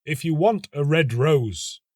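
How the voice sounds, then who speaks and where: A man voicing a mournful old rose tree in a storybook, speaking in a solemn, drawn-out, foreboding way. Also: on the high side, lively intonation, no room sound, studio quality, voice pushed up. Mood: grave foreboding. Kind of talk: storybook character acting.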